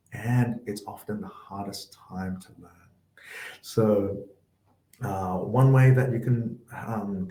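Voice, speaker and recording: Soft-spoken man, low mellow voice. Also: echoey room, rough recording. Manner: gentle, reflective, with fillers. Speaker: workshop host. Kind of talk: online workshop Q&A.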